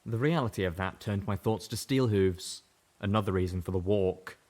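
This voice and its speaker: A man reading a story aloud in first person, steady and measured. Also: low voice. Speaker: audiobook reader. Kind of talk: first-person narration of fan fiction.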